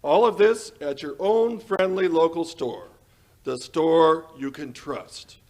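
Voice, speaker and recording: Man acting high-pitched 1940s radio announcer. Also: slow, a little mellow. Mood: selling. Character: smooth-talking, eager 1940s radio announcer and sponsor pitchman. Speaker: announcer and host.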